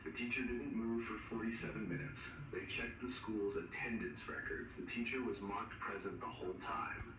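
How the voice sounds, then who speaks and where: A man reading a scripted horror emergency broadcast, giving ominous warnings in short, measured phrases. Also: fairly low pitch, fairly even intonation, lo-fi sound. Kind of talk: scripted analog horror narration. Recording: noisy, echoey, distant broadcast-style recording.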